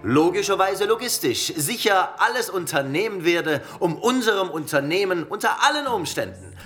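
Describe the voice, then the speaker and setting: High-pitched, pompous corporate boss. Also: male voice, animated, moderate pace, a bit bright, soft background music. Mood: self-satisfied. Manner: pompous, jargon-heavy, self-satisfied. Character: smug, slick new corporate logistics boss, a grown man. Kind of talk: podium speech in a satirical radio play.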